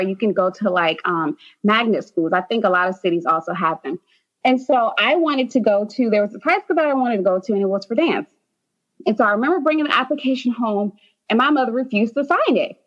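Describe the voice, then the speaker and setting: A woman's voice: a little high, a bit bright, dull audio. A young woman from Haiti, a nurse practitioner, chatting as a podcast guest with many "um"s and "like"s. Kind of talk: casual interview.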